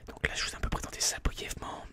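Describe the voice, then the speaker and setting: A person whispering informal, explanatory ASMR gameplay commentary in a breathy, unvoiced voice, a little hesitant, close on a binaural mic. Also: quick pace. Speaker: ASMR gaming video host. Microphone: close binaural microphone.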